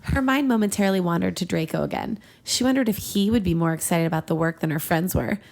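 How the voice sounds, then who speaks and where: A woman's voice: fairly low pitch, quick pace, creaky, darkish tone. A woman co-hosting a podcast, reading fan fiction aloud with casual, giggly banter. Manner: giggly, breaking into laughter mid-reading. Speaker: podcast co-host and reader.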